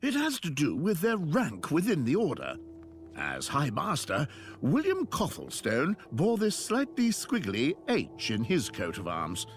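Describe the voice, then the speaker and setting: An actor playing a chatty, drily amused priest telling rambling stories in a video game. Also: male voice, a little high, wildly swooping pitch, measured pace, soft background music, full character voice. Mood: dry, explanatory. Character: chatty, wry priest and shepherd of a church. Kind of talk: video game character dialogue.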